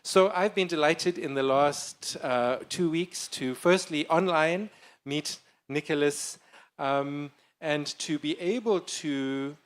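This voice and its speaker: A man moderates a public panel, thanking speakers and handing over with polite formality. He pauses often with small fillers, and his voice sits somewhat high for a man. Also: clean tone. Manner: courteous, hesitant with fillers. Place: conference hall panel.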